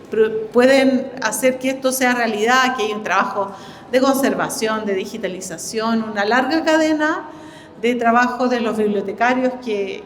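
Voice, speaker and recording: Middle-aged Chilean woman, director opening an event, halting informal address, reverberant hall with faint noise. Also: a little high. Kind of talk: informal public welcome speech. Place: indoor exhibition event in a library.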